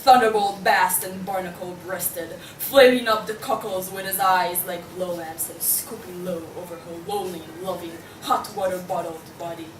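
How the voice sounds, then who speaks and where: A woman's voice: lowish voice, steady tone, moderate pace, hardly any pauses, natural voice. A young woman playing a poetic narrator of dreams, reciting dramatically in a table read. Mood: dramatic. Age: young adult. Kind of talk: table read of a radio play. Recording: echoey room, distant mic, faint noise.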